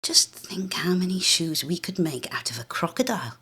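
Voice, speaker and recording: A woman's voice: fairly low pitch, very animated, normal speed, sharp tone, slightly rough, full character voice. A woman voicing a timid, squeamish younger sister, a little girl whispering anxiously in a short story. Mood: whispering, curious.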